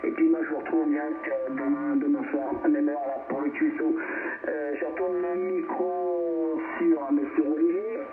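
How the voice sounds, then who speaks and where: An echoey, narrow shortwave signal carries a man's somewhat high voice. He talks as a sociable ham operator, casually addressing the others on the air. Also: lively intonation, normal speed. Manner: sociable, addresses listeners as friends. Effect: shortwave amateur radio transmission. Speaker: amateur radio operator. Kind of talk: casual ham radio sign-off. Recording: echoey, phone-like, clearly noisy radio signal.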